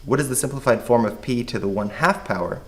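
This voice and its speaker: A man with a hoarse voice, patiently explaining a math lesson step by step. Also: medium pitch, fast. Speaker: math teacher.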